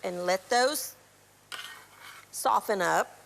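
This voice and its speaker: A middle-aged woman, raspy from a cold, chattily walking viewers through a recipe on a live TV cooking show. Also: medium pitch, extremely slow, a little hiss or hum. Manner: chatty instructional, throat clearing. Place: TV studio kitchen.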